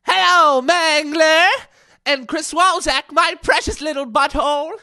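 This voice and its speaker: Deranged old mad scientist grandpa, super high piercing voice, theatrical radio comedy villain. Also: a little slow, sharp tone, heavily acted. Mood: taunting. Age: older. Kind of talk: comic radio-play acting.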